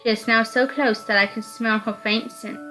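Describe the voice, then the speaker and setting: A woman's voice: on the high side, steady tone, moderate pace, music in the background, low-quality recording. A woman reading first-person story narration aloud from a visual novel.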